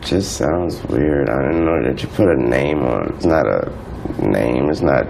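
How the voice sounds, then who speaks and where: A man's voice: a bass voice, a little slow, gravelly, background noise. A man answering interview questions in a curt, dismissive way. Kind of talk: clip of a short interview.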